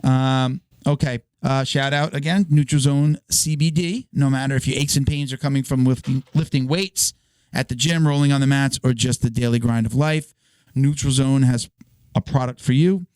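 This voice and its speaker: A hoarse man co-hosting a podcast, chatting casually and stumbling through sponsor reads. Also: medium pitch, steady tone, darkish tone, no room sound. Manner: reads ads in a quick, stumbling way. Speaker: podcast co-host.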